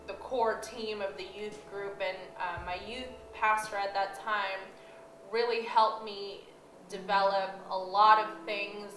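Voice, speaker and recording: A woman's voice: mid-range voice, a bit bright, slight background noise. A young woman from the Philippines giving an earnest, reflective personal testimony about her faith. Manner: earnest, reflective, with light laughs. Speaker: church member telling her story. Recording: roomy sound with music behind.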